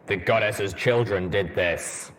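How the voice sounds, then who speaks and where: A man voicing a stern, analytical armored stallion soldier in a story reading, speaking deliberately. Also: on the low side, a bit bright, slight background noise. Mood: grave, analytical. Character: grave armored male pony soldier, measured and analytical. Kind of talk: dramatised audiobook reading.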